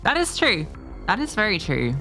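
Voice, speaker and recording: A woman's voice: mid-range voice, wildly swooping pitch, normal speed, bright, forceful tone, faint music behind. A woman commentating an esports match, with playful, teasing banter toward her co-caster. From Australia. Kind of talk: live game commentary and casual chat.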